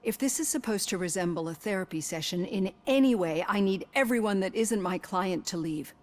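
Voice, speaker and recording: An actress playing a composed, professional female psychologist in a video game, measured, tactful and polite but firm. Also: medium pitch, a little mellow, close to an everyday voice. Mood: indignant, firm. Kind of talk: video game cutscene acting.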